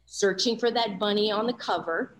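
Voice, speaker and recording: A woman's voice: mid-range voice, normal speed, a little hiss or hum, low-quality recording. A woman voice-over coach speaking as an interview guest, candid and reflective, often adding "you know" as she talks. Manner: earnest, reflective, many "you know" asides.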